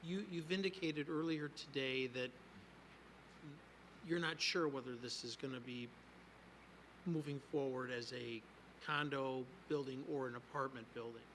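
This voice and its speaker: A man's voice: medium pitch, steady tone, measured pace, fairly bright tone, slight background noise, poor audio. A man serving as a planning commissioner, asking probing, slightly hesitant questions at a public meeting.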